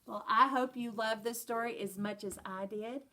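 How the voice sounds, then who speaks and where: A woman, a first grade teacher, reading a children's picture book aloud, doing lively voices and dropping to hushed whispers. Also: a little high, normal speed. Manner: animated storyteller voices, drops to hushed whispers.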